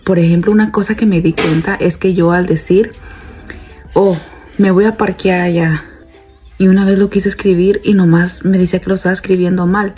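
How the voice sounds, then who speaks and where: A woman's voice: fairly low pitch, steady tone, moderate pace, mellow, breathy edge, faint music behind. A woman in her thirties, a stay-at-home mom, chatting casually to her phone camera at home and calling her viewers "girls". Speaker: mom vlogger. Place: home kitchen and bedroom, indoors. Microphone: phone held at arm's length.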